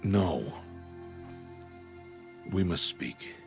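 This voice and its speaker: An actor playing a stern, stoic older hunter and mentor in a video game, grave and formal. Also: male voice, low-pitched, big pitch swings, drawn-out words, creaky, full character voice. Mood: solemn. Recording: music under the voice. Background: music.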